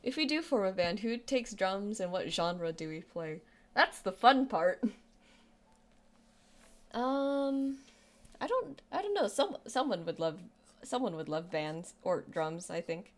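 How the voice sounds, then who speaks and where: A woman's voice: mid-range voice, big pitch swings, a little slow. A young woman with a youthful, girlish voice, chatting casually on a live stream, rambling and self-deprecating with lots of restarts and fillers.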